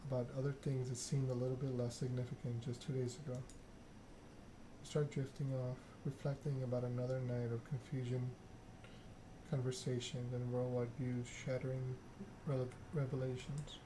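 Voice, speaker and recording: A man softly narrating a story game in ASMR style, reading in a measured, deliberate way. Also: medium pitch, level tone. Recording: clearly noisy background ambience.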